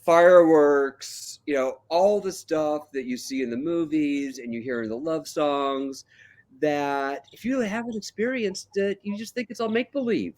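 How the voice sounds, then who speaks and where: An older man, a calm and relaxed guest, telling his life story in a casual interview. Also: a little high, measured pace.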